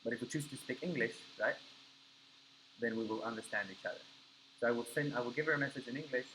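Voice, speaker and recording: A man's voice: medium pitch, quick pace, fairly bright tone, a little hiss or hum, rough recording. A man whose first language is Spanish teaches a live coding class, explaining step by step and checking in with his students. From Argentina. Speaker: coding instructor.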